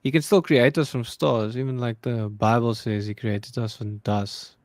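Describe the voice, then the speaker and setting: A man's voice: mid-range voice, fairly even intonation, normal speed, darkish tone, no echo. Earnest man, dry close mic. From South Africa. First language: Afrikaans. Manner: earnest, searches for words. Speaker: guest. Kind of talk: casual debate on a call.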